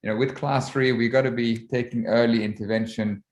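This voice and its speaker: Man, from Egypt, 40s, lecturer, measured with many ums, video call audio, roomy sound. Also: mid-range voice, fairly even intonation. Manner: measured, with frequent ums and restarts. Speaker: orthodontist giving a webinar lecture. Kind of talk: relaxed presentation over slides. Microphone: computer mic on a video call.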